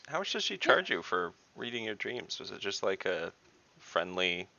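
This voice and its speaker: A man's voice: lowish voice, big pitch swings, moderate pace, vocal fry, pushed and bright, lo-fi sound. A man chatting casually as a player in an online tabletop game, with sardonic, sweary banter.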